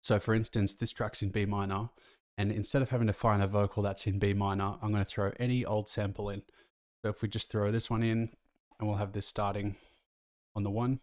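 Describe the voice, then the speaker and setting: A man's voice: lowish voice, level tone, fairly quick, darkish tone, no room sound, clean studio sound. A man casually explaining music production as a tutor, talking through each step of a tutorial. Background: faint music from the track.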